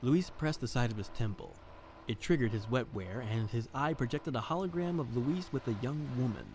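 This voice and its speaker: A man playing a theatrical, flirtatious detective who narrates his own case in an audio drama, in a deliberate noir manner. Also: mid-range voice, darkish tone, slight background noise, lightly acted. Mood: narrating.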